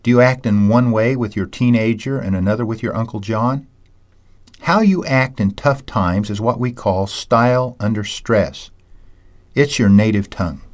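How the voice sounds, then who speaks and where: A man reading a scripted lesson in a measured, storytelling way, teaching communication skills. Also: on the low side. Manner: measured, anecdotal, self-described ham. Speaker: audiobook narrator and seminar teacher. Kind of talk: scripted audiobook narration.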